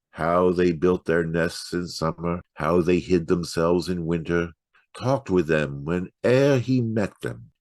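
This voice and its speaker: A man giving a dramatic poetry reading in a measured, rhythmic storytelling delivery. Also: sits low, darkish tone, no echo, clean studio sound. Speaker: poetry reader.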